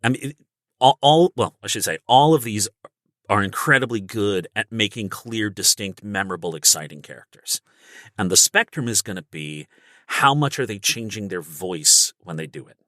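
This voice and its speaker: A man with a fairly low, bright and forceful voice, recorded close and dry. He talks like a guest coach on a podcast, explaining his craft in an animated, instructive way. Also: moderate pace, no echo.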